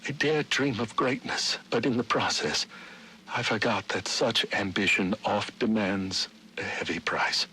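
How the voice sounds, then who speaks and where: An actor playing a middle-aged Victorian gentleman, a scheming city founder, narrating his journal in formal, dramatic, archaic diction for a video game. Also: fairly low pitch, measured pace, a little hiss or hum, rough recording. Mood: resigned, foreboding.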